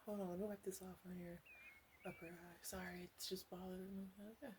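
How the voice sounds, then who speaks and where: Soft, low-pitched witch played by a woman, ASMR. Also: flat delivery, a little fast, background noise, close to an everyday voice. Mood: doting, playful. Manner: gentle, intimate, hesitant, doting. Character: flirty, caring witch, woman, soothing a little fairy. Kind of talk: ASMR roleplay, soft-spoken with whispered stretches.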